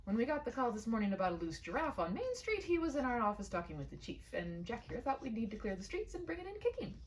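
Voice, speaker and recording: A woman's voice: on the high side, big pitch swings, fast, far from the mic, a little hiss or hum. A woman voicing folksy, amused male animal control officers with a genial, teasing, easygoing way of talking.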